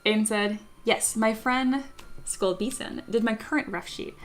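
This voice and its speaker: A young woman streaming live and chatting with viewers in a bubbly, excitable way, laughing often and reading chat messages aloud. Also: on the high side, a little slow. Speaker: streamer, 3D sculptor. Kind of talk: casual livestream chat with viewers.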